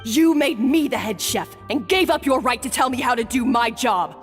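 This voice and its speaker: A woman voicing a haughty, short-tempered, defensive head chef in a video game, with a sharp-tongued manner. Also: on the high side, normal speed, natural voice. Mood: hostile. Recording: long reverb, music behind.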